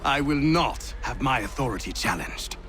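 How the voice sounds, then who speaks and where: A man's voice: medium pitch, lively intonation, moderate pace, background noise, close to an everyday voice. A man playing a scornful general. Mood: commanding. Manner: imperious, scornful, given to angry outbursts. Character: arrogant stern British general, middle-aged. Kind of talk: video game cutscene acting. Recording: music score behind voice.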